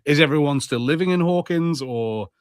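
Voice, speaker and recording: A man's voice: a little high, normal speed, clear, resonant tone, no room sound, studio quality. A man co-hosting a podcast, talking informally in casual conversation. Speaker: podcast co-host. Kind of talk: casual chat.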